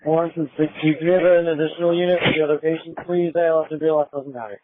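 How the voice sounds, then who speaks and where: Fast higher-voiced man on tinny emergency radio. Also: steady tone, no echo. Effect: two-way radio. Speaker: EMS supervisor.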